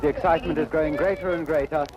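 A man's voice: fairly high pitch, fairly even intonation, fast, highs cut off. A man giving live event commentary as a radio commentator in 1940s style. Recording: noisy distant 1945 archive radio.

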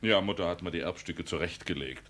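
An actor playing a hearty, good-naturedly authoritative village father in a German radio play, speaking in a folksy, deliberate way. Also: low voice, fairly bright tone. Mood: amused, proud.

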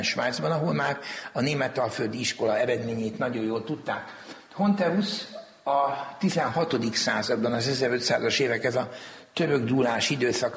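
A man's voice: medium pitch, a little hiss or hum. Guy explaining history like a scholar, formal n careful wording, super echoey big room. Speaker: museum director being interviewed. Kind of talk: explanatory interview talk on history. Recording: echoey, reverberant hall.

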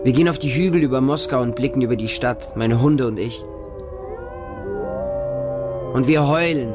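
A man's voice: mid-range voice, fast talker, loud music behind the voice, close to an everyday voice. A man playing a watchful, trusting homeless boy in a radio drama monologue, speaking in simple, childlike short sentences. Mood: wistful. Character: homeless street boy living with a pack of dogs, watchful, trusting, defiant.

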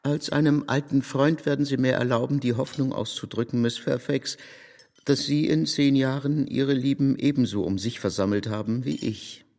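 A male actor plays a middle-aged gentleman of grumbling but kindly temper. His voice has a lot of fry, and his speech is formal and dry. It is radio drama, set in a long, hall-like reverb. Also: mid-range voice, fairly even intonation, moderate pace, close to an everyday voice. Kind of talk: literary radio play acting.

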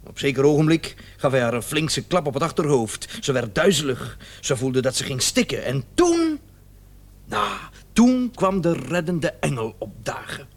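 Radio drama doctor: medium-pitched, sardonic and self-satisfied, theatrical delivery with drawn-out, ironic emphasis. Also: male voice, big pitch swings. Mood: dramatic, ironic. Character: smug, talkative doctor, bitterly sarcastic about his unfaithful wife. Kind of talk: Dutch radio play acting.

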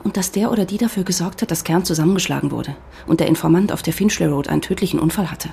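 A woman's voice: lowish voice, quick pace, creaky, mellow, slight background noise. An actress playing a determined female investigator in a radio play, asking pointed, insistent questions. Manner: probing, insistent.

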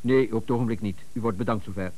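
An actor in a radio play, playing a courteous, careful police dispatcher who gives orders in measured, official phrases. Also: male voice, lowish voice, darkish tone, lightly acted. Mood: calm, official. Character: formal, careful male police dispatcher in command.

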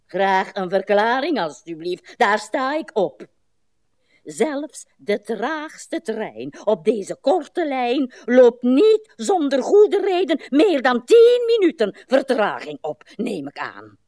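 An actress playing a weary, indignant old wife in a radio play, with imperious, melodramatic, drawn-out phrasing. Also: female voice, a little high, very animated, darkish tone, no echo, clean studio sound.

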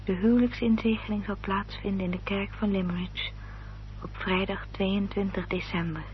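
An actress playing a determined, protective gentlewoman in a radio play, speaking in an articulate, formal way. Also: medium pitch, steady tone, moderate pace, lightly acted. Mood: grave, troubled. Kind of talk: Dutch radio play acting. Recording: dull, noisy old radio recording.

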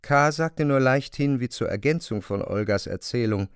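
An actor as a calm, smooth-voiced narrator. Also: male voice, mid-range voice, normal speed, lightly acted. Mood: calm, measured. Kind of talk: German radio play narration.

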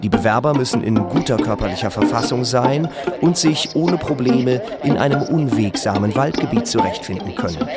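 A man's voice: medium pitch, animated, normal speed, few pauses. A man reading a scripted announcement in a radio drama, formal and factual. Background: loud music.

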